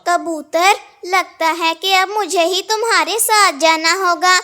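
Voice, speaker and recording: A woman's voice: extremely high pitch, steady tone, slow, bright, forceful tone, full character voice. A woman voicing a kind, peace-loving female bird in a cartoon story, earnest and reasoning. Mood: concerned, helpful.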